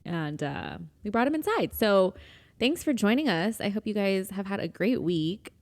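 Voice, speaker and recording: A woman's voice: medium pitch, lively intonation, moderate pace. A woman co-hosting a podcast in an informal, opinionated conversational style, often checking in with the listener. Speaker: podcast co-host. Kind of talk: casual conversational podcast chat. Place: indoors, relaxed seated setup.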